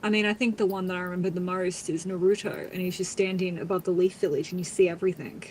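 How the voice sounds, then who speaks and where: A woman's voice: on the low side, steady tone, quick pace, a little mellow, a little hiss or hum, poor audio. A woman, chatting casually in a blunt, teasing way. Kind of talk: casual chat.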